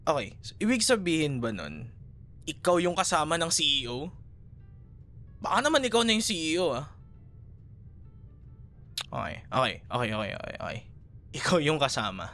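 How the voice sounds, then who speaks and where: A man plays a Filipino café worker in a boyfriend roleplay, talkative and teasing. His voice sits a little high and swings freely up and down in a casual, conversational way. Faint music plays behind him. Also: sing-song, moderate pace, long pauses, sharp tone. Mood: surprised, curious. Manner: chatty, playful, casual conversational. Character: chatty, teasing male café worker meeting an ex. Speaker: voice actor in a roleplay audio. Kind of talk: ASMR boyfriend roleplay, naturalistic acting.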